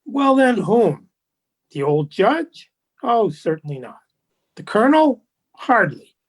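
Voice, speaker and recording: Guy playing a sly smug old-chap gentleman, slow drawl, swoopy pitch, fake polite radio drama. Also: big pitch swings, heavily acted. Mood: prying, teasing. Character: suave, sly, insincere gentleman, teasing and smug. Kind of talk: radio play acting.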